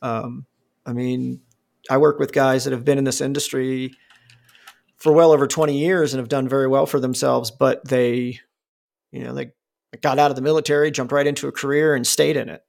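A man's voice: mid-range voice, steady tone, normal speed, creaky, a little mellow. A man in his forties talking casually, rambling with lots of fillers and restarts and swearing freely. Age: 40s. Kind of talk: casual conversation.

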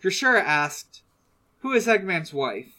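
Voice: mid-range voice, big pitch swings, a little slow, pushed and bright. A podcast host chatting casually and reading out listener questions, hesitant and often trailing off mid-thought.

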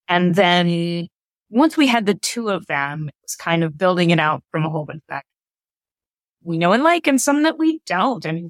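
A woman's voice: on the low side, fairly bright tone, no echo. A woman giving casual interview answers as a director, telling things in an informal, anecdotal way. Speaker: interview guest, drama director.

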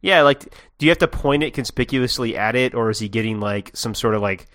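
A man's voice: mid-range voice, brisk, fairly bright tone, no echo, studio quality. A man co-hosting a podcast, reacting in casual, jokey chat and speculating aloud. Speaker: podcast co-host.

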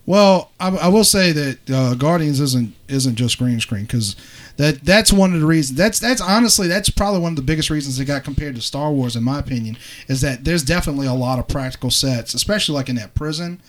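Podcast co-host, man, slightly high voice, very animated pitch, opinionated and jokey. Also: a little fast. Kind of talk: casual chat.

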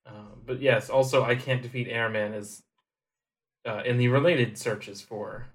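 Man, mid-pitched voice, podcast co-host, casual chat, easygoing, hesitant. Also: steady tone, a little slow.

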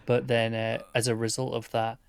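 A man co-hosting a film podcast who is ill and losing his voice, hoarse and sometimes dropping to a whisper. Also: mid-range voice, monotone, normal speed. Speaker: podcast co-host. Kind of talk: casual chat.

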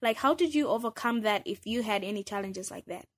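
A woman's voice: medium pitch, lively intonation, fairly quick. A woman hosting a podcast, asking curious questions in a casual interview. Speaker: podcast host.

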